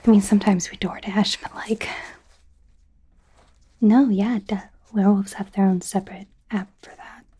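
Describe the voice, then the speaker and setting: A friendly young werewolf doctor played by a woman speaks in an ASMR roleplay whisper, breathy and soft. The tone is dark and relaxed, while the delivery is hesitant, casual and a little flustered. She reassures in an awkward, rambling way. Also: mid-range voice, moderate pace, pauses often, lightly acted. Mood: gentle, nervous.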